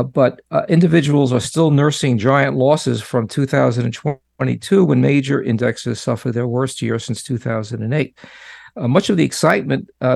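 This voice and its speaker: A man co-hosting a radio talk show, talking in a relaxed, halting way with plenty of fillers. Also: mid-range voice, gravelly, no echo, studio quality.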